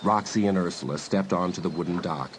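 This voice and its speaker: A man narrating a dramatised audiobook in an expressive, scripted storytelling manner. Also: sits low, a little fast, a little hiss or hum.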